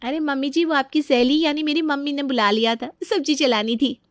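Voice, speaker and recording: An actress playing a carefree young daughter-in-law, wheedling and childlike, in a comic family story. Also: female voice, high voice, fairly even intonation, fairly quick, no room sound, lightly acted. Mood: giggly, wheedling. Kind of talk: animated family comedy story acting.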